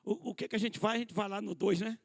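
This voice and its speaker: A man's voice: sits high, steady tone, fast, creaky. A middle-aged male pastor preaching a conversational sermon at a church conference, calling the listeners brothers, asking rhetorical questions and joking about himself. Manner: addresses listeners as brothers, rhetorical questions, self-deprecating humor. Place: church hall.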